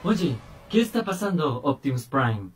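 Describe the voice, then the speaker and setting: A man voicing a curious, upbeat male robot scientist with a softened Costa Rican accent. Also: medium pitch, measured pace, soft background music. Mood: excited. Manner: excited, rising exclamations.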